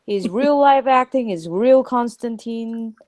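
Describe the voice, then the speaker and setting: A woman's voice: fairly high pitch, slow, darkish tone. A woman chatting casually on a livestream, blunt and teasing toward the others. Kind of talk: casual group chat on a livestream.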